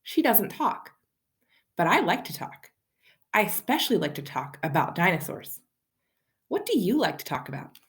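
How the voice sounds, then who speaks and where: A woman's voice: lowish voice, normal speed, long pauses. A woman reading a children's picture book aloud expressively, as a storyteller speaking to young listeners. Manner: animated, addresses child listeners with questions.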